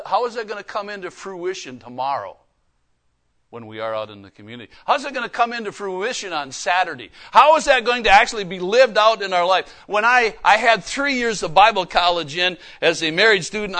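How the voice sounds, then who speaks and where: A man delivers a sermon in a high, forceful voice. He is folksy and emphatic, moving between personal storytelling and pointed questions to his listeners. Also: lively intonation, brisk. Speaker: preacher and missionary.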